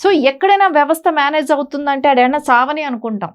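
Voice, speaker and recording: High-voiced, emphatic woman commentator. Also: animated, fairly quick. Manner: emphatic, insistent, with rhetorical questions. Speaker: political commentator on a news talk show. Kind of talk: opinionated news discussion.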